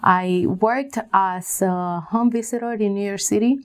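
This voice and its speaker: A woman from Puerto Rico giving conversational answers as an interview guest, pausing often as she tells her story. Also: medium pitch. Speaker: interview guest, business coach.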